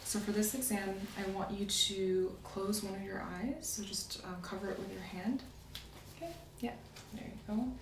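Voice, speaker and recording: A soft-spoken woman in an ASMR medical roleplay, gently explaining exam steps as the examiner. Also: medium pitch, normal speed. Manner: gentle, step-by-step clinical explaining. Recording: roomy echo, distant mic, faint noise.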